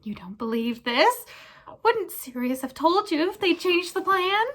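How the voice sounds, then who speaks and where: A woman voicing a cowardly man groveling for his life, squeaky and whimpering, stammering as they plead in a storybook reading. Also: big pitch swings, unhurried, a bit bright, full character voice. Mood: desperate pleading. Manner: stammering, imploring.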